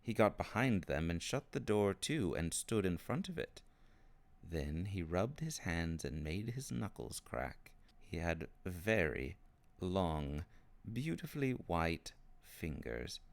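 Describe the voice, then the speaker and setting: A man reading children's fiction aloud as an expressive scripted narration. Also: deep voice, slow pace, gravelly, no echo. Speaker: storybook reader.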